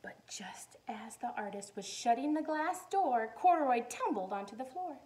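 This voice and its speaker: A woman's voice: on the high side, animated, moderate pace, mellow. A woman reading a children's storybook aloud like a teacher, expressively, with questions and simple explanations for her listeners.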